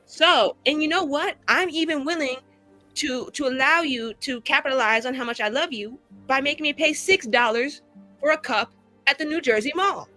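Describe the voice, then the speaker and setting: A young woman with a fairly high voice talks in casual, joking banter. Her delivery is slangy and playfully theatrical, leaning into exaggeration. Faint music runs behind her. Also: moderate pace, pushed and bright. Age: young adult. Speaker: cast member of a tabletop roleplaying show. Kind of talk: casual joking group chat.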